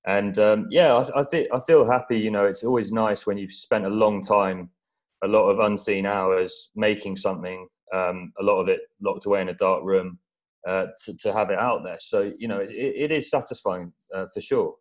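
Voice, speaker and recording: An English man from East Anglia giving measured, modest, somewhat hesitant conversational answers as an interview guest. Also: on the low side, steady tone. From East Anglia, England. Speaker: interview guest, documentary film-maker. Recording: dull, lo-fi audio.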